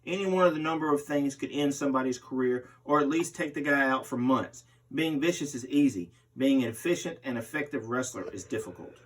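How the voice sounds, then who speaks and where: A man reads a magazine interview aloud, taking the part of the person being interviewed. His voice is of middling pitch, and he sits a little way off from the microphone. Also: fairly quick, few pauses. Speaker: host reading aloud. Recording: distant microphone.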